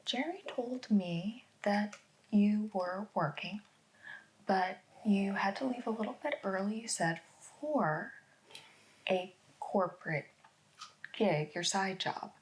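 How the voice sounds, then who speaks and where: A woman playing a patient female police interrogator in a soft-spoken ASMR roleplay, often dropping into whispers and slowly repeating answers back. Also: mid-range voice, lightly acted. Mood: calmly probing. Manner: slow, reassuring, echoes back answers. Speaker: ASMR performer.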